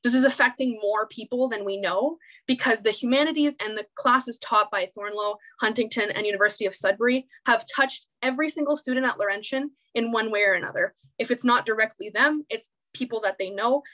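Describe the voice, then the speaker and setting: A woman's voice: a little high, brisk, hardly any pauses, fairly bright tone. A young woman student with a Canadian accent speaking out emotionally and emphatically at an online public meeting over a video call. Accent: Canadian English. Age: young adult. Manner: emotional, emphatic, repeats and restarts words. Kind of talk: impassioned public meeting speech.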